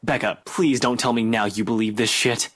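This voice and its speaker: A man's voice: mid-range voice, fairly even intonation, moderate pace, a bit bright, close to an everyday voice. An actor playing a stern, skeptical young policeman in a visual novel, blunt and dismissive. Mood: exasperated disbelief.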